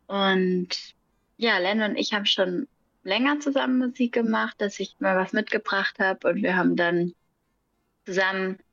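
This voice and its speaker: A young woman, a singer who is a guest, talking casually in an interview, relaxed, often laughing and searching for words. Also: medium pitch, normal speed, no room sound, dull audio.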